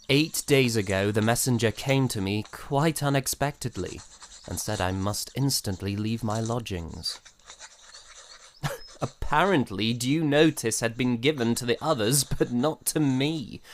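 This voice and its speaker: An actor playing an aggrieved, spirited young composer of the 1780s in a florid, theatrical period audio drama style. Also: medium pitch. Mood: wistful, earnest, then bitter, indignant. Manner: theatrical, florid, hesitant sputtering. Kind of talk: period audio drama acting, letter narration.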